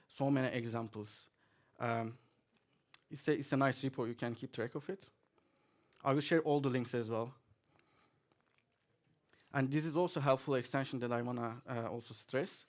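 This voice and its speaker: Man giving a relaxed tech talk. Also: medium pitch. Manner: relaxed and chatty, with many fillers, chuckling now and then. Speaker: conference speaker. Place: conference hall, audience present.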